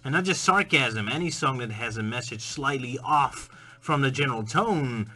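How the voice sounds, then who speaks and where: Bright-voiced man musing casually. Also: mid-range voice, expressive, moderate pace. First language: Dutch. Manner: musing, conversational, bursts into playful mock singing. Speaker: video essay host. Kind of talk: casual spoken commentary.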